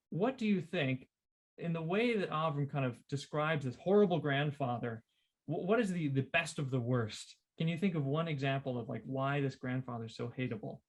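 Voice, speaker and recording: A podcast host casually discussing short fiction and putting questions to a guest. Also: mid-range voice.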